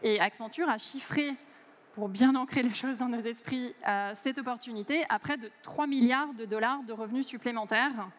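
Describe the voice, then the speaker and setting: A woman in her forties delivers a keynote from a big conference stage, her fairly high voice picked up by a headset mic. She talks fluently but leans on many hesitant fillers. A long reverb from the hall trails her words. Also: moderate pace. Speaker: keynote speaker.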